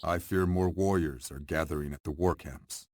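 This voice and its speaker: Deep-voiced, stern warrior scout. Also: male voice, steady tone, moderate pace, darkish tone, slight background noise. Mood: wary. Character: stern, watchful Mohawk warrior man, loyal rival.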